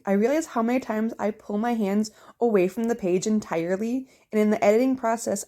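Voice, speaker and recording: A young woman narrating a journaling video in a chatty, upbeat voice-over, slightly hoarse from a cold. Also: medium pitch, a little mellow. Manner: chatty, upbeat, talks straight to viewers. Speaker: bullet journal vlogger.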